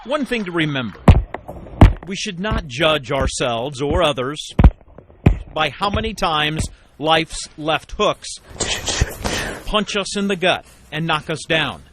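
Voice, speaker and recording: A man's voice: a little high, expressive, slow pace, long pauses, very noisy. A man narrating a scripted television news feature as a reporter, with emphatic storytelling delivery in short phrases.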